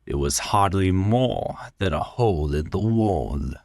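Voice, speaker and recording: A man narrates a story with dramatic, measured delivery. His voice is fairly low and very slow, recorded dry and close with no room sound. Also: lively intonation, darkish tone, no room sound. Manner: deliberate, measured. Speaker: story reader.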